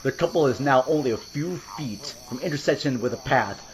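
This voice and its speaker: A man's voice: medium pitch, animated, brisk, rough recording. A man narrating a scripted horror audio drama. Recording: loud music under the voice. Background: loud music.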